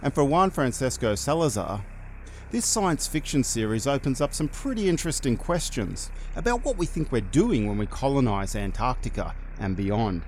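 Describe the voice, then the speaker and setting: A man presenting scripted narration, in a measured storytelling delivery. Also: mid-range voice, big pitch swings, slight background noise, poor audio.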